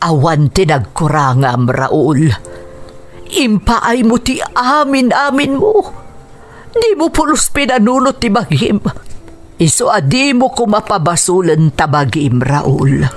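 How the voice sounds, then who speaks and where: A consoling older sister in a Filipino radio drama. She speaks very slowly and deliberately in an Ilocano accent, with lively rises and falls as she reasons. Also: medium pitch. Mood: consoling, firm.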